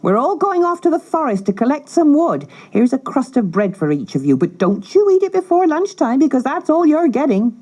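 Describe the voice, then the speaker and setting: A woman voicing a cruel, scheming stepmother in a storybook, sharp and bossy. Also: sits high, big pitch swings, brisk, hardly any pauses, voice pushed up. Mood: harsh, bossy. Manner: sharp, bossy, false sweetness.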